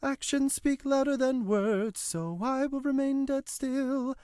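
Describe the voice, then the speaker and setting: An actor playing a pious, guilt-burdened prisoner in an audio drama, speaking in formal, measured phrases. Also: male voice, extremely high pitch, fairly even intonation, darkish tone, smooth, no echo, studio quality. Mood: mournful.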